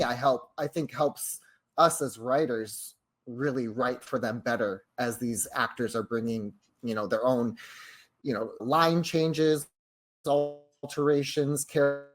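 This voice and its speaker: A man's voice: mid-range voice, unhurried. A man talking casually as a writer in a group chat, agreeable and upbeat. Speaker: audio drama writer.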